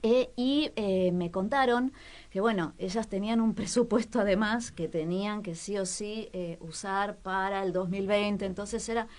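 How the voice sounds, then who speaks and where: A woman, a radio culture columnist talking thoughtfully, pausing often with fillers and restarts. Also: mid-range voice, a little mellow. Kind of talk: radio talk segment.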